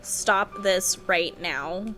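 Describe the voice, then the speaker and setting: A young woman live-streaming a game, giving casual, expressive, exclamatory reaction commentary. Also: fairly low pitch, very slow, faint music behind.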